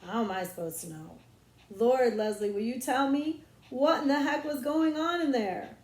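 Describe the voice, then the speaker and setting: A woman's voice: fairly high pitch, normal speed, darkish tone, reverberant, pitched above the performer's own voice, lightly acted. A woman voicing an impatient, bossy country boy in an audiobook, with folksy, ungrammatical, blustering talk. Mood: exasperated, impatient. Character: exasperated, impatient country schoolboy, bossy big brother. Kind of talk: audiobook character voice.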